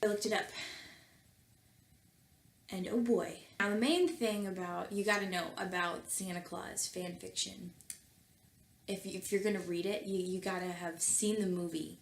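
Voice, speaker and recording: A woman's voice: lowish voice, flat delivery, measured pace, vocal fry. A woman talking casually and reading aloud as a comedic host, with wry conversational asides. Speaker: video host and fan fiction reader. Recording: roomy echo with faint background noise.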